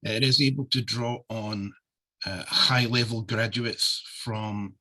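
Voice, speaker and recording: A man's voice: fairly low pitch, fairly even intonation, takes it slowly, bright, forceful tone, rough recording. A man with a Scottish accent giving a friendly, loosely spoken webinar presentation as a trade office deputy director, on a video call. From Scotland. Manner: friendly, loose, with many uh fillers.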